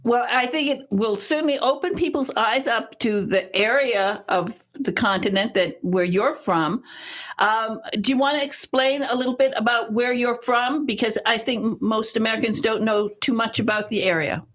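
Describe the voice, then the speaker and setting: A woman's voice: on the high side, normal speed, fairly bright tone. A woman hosting a radio talk show, interviewing an author and guiding him with friendly questions. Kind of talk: interviewing a guest.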